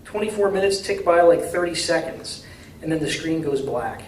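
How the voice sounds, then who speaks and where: A man giving a live reading of literary fiction in a measured, deliberate way, recorded from a distance in a room. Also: fairly high pitch, expressive. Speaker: author reading from his novel. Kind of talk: live literary reading, dramatic first-person narration. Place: indoor reading at a university. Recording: distant, echoey room with faint noise.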